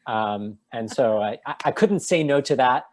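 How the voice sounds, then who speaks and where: A man answering an interview question over a video call, thoughtful, with many pauses and restarts. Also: mid-range voice, expressive, a little mellow. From United States. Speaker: guest translator.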